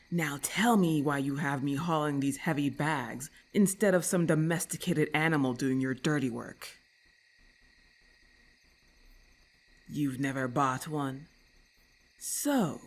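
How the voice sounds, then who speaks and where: A woman's voice: sits low, full character voice. A woman voicing a haughty, irritable lamia in an ASMR roleplay, with hissing, imperious and formal speech, scornful and menacing. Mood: irritated, threatening.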